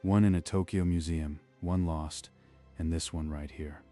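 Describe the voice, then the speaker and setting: An actor playing a kindly old man who owns a piano workshop, speaking in a calm, measured way for a manga dub. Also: extremely low pitch.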